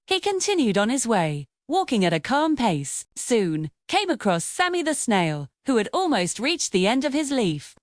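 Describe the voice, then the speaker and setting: A woman's voice: on the high side, sing-song, moderate pace, few pauses, no room sound, studio quality. A woman reading a scripted children's story as its narrator.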